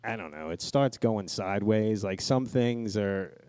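A man with a fairly low voice talks loosely in a podcast style. He rambles through stories, swears freely and slips into quoted asides. The sound is close and dry, with little room. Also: a little slow, a little mellow, clear, resonant tone, no echo. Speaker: podcast co-host. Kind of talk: casual podcast chat with profanity.

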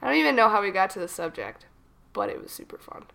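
A woman's voice: mid-range voice, huge pitch swings, fast talker, vocal fry, a bit bright. A young adult woman co-hosting a casual chat podcast, sounding a little froggy from a cold and telling rambling stories. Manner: chatty, rambling storyteller. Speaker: podcast co-host.

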